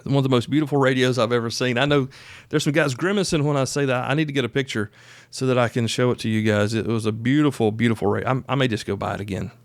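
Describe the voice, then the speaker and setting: A man's voice: medium pitch, quick pace, no room sound. A man hosting a podcast, explaining things in a conversational, folksy way as if chatting with the guys listening. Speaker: podcast host. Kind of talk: casual instructional podcast monologue.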